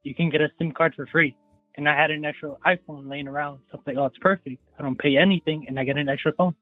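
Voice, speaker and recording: A young man talks over a phone line, his voice pitched a bit high and squeezed thin by the call. He rambles casually, hedging most sentences with "like" and "I would say.". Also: steady tone, fast, soft background music. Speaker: caller.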